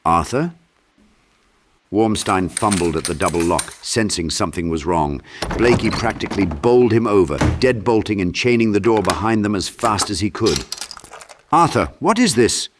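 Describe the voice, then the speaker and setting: A man with a very low voice narrates a dark horror audiobook. His delivery is dramatic and scripted, and there is clear noise behind the voice. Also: a little fast.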